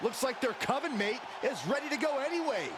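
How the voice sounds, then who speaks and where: Spooked wrestling game commentator, super high voice, loud arena racket behind. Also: male voice, lively intonation, brisk, background noise. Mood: alarmed. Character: jumpy, easily spooked male wrestling commentator. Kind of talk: sports commentary in a video game.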